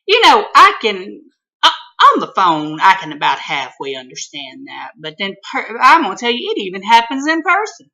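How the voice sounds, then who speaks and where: A man from Mississippi with a Southern accent and a high-pitched voice, telling a comic story in a folksy, exclaiming way. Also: huge pitch swings, normal speed, creaky, pushed and bright, poor audio. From Mississippi, USA. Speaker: vlogger.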